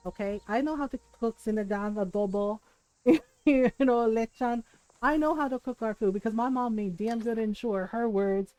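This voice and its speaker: A woman chatting casually on a live game stream in a candid, sweary, animated storytelling way, who grew up speaking Tagalog, English and Spanish. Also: fairly high pitch, normal speed, low-quality recording.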